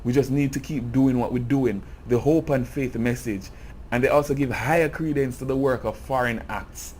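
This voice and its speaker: A man's voice: medium pitch, normal speed, a little mellow, slight background noise. A Jamaican man giving an earnest, impassioned cultural commentary in a video essay. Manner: earnest, grows impassioned and emphatic.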